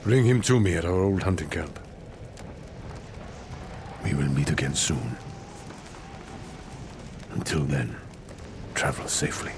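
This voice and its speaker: An actor playing a stern, honourable older samurai lord in a game cutscene, speaking in a formal, grave, measured way. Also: male voice, a bass voice, very animated, noisy background, heavily acted. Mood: resolute, paternal. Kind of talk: dramatic game cutscene acting.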